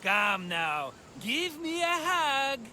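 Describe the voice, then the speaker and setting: A flamboyant rogue for a game cutscene. His very high, sharp voice swoops melodramatically as he gushes with self-serving fondness. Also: sing-song, takes it slowly, a little hiss or hum, full character voice. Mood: gushing farewell. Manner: effusive, melodramatic. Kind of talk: video game cutscene acting.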